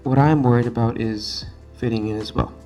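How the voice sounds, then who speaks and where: A man's voice: mid-range voice, steady tone, brisk, mellow, faint music behind. A young man in his late teens from Singapore with a non-Singaporean, American-sounding accent, hosting a solo student podcast in an earnest, emphatic way, often repeating key phrases. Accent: American-sounding, not Singaporean.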